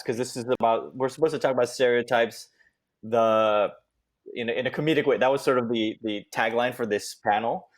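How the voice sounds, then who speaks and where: A man moderating a relaxed online panel in an easygoing voice that stays at an ordinary middle pitch. He talks loosely, with frequent fillers and restarts. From California Bay Area. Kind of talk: casual live-streamed panel chat. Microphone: home video call.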